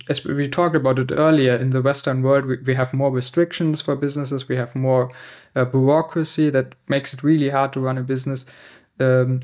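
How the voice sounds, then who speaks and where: A man's voice: medium pitch, a little mellow. A man from Germany hosting a podcast, asking long searching questions with fillers. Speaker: podcast host. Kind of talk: casual interview chat.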